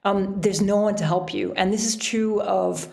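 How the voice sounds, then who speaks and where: A woman with a medium-pitched voice talks as a guest writer in an interview. Her delivery is casual and conversational, with an animated way of telling stories. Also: moderate pace, gravelly. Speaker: podcast guest, adventure writer.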